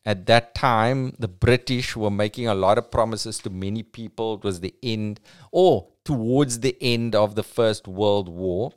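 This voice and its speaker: A young man hosts a podcast and walks through history in a casual, joking way, dropping in slang as he goes. His voice is low and smooth. It sounds close-miked and dry, with no room echo. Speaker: podcast host.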